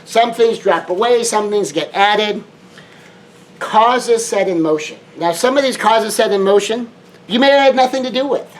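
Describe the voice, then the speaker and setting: A man's voice: high-pitched, moderate pace, a little hiss or hum, low-quality recording. Emphatic man giving a motivational talk. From Southern California. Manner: repetitive, emphatic phrasing with varied tempo. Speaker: life coach.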